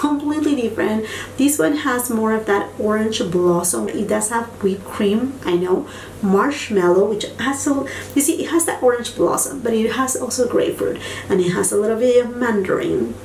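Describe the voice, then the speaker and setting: A woman's voice: on the high side, darkish tone, distant mic. Lady gushing bout products, echoey room w faint bg hum. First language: Spanish. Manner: enthusiastic, gushing, repeats words for emphasis. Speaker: beauty vlogger. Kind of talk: casual product review.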